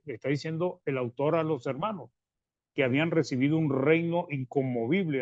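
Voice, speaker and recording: A man preaching a Bible-study sermon, reading verses and explaining them, calling his listeners brothers, with halting restarts and phrase ends that fade quietly. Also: mid-range voice, no echo. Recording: dry, slightly dull sound.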